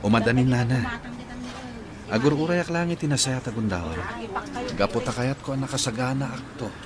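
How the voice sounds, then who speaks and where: An actor playing a devoted, earnest young adult son in a radio drama. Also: male voice, mid-range voice, normal speed, a crowd in the background, lightly acted. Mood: earnest, tender. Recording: noisy ambient background.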